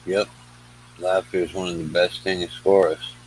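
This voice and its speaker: Deep-voiced laid-back man, faint hum. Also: flat delivery, unhurried, rough recording. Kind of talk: casual chat. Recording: faint background noise and hum.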